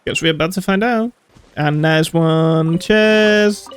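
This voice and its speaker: A man giving casual, halting, low-key commentary on his own video game playthrough, with music behind. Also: smooth.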